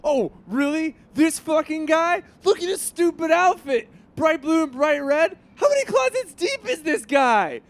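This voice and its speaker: Guy doing a bratty teen superhero, high voice jumping all over, sarcastic and crude. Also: sing-song, unhurried, few pauses, exaggerated voice. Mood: scornful. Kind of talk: adult animated parody.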